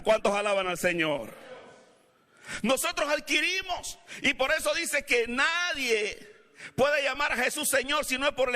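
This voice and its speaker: A male pastor with a Latin American Spanish accent preaches in a church, his voice bright and edged as he swings from steady teaching to shouted calls to the congregation. His pitch sits high for a man, and long hall reverb trails each phrase. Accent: Latin American Spanish, not Mexican. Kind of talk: sermon. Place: church sanctuary.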